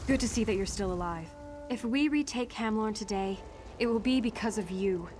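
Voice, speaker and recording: An actress playing a steady, practical female war leader in a fantasy video game, speaking in a measured, serious way. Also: mid-range voice, noisy background, natural voice. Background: clear music.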